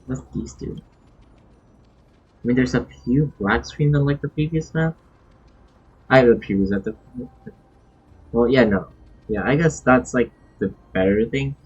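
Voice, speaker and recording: A man giving casual live-stream game commentary, thinking aloud in a halting, rambling way. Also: mid-range voice, darkish tone, slightly rough. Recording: dull, lo-fi stream microphone.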